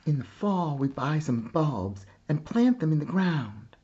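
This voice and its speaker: A man reading a children's picture book aloud like a teacher, with deliberate, expressive phrasing and pauses. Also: fairly high pitch, breathy edge, muffled recording. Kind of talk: slow children's storybook read-aloud.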